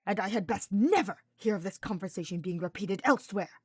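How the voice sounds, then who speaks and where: A woman's voice: mid-range voice, fairly quick, darkish tone, husky, dry recording, clean studio sound, close to an everyday voice. A woman voicing a proud, menacing male mage in a fantasy story, with formal wording and a curt, snarling manner. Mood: frustrated.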